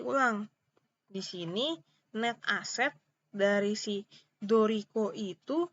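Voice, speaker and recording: A woman's voice: medium pitch, fairly bright tone, highs cut off. A woman with an Indonesian accent giving an informal step-by-step tutorial as a lecturer, repeating points and checking understanding with short questions. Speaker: accounting lecturer.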